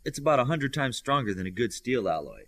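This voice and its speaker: A man's voice: mid-range voice, sing-song, fast, fairly bright tone. A man reading fiction as an audiobook narrator, in a scripted storytelling style. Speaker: audiobook reader. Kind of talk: scripted fiction narration with lifted character dialogue.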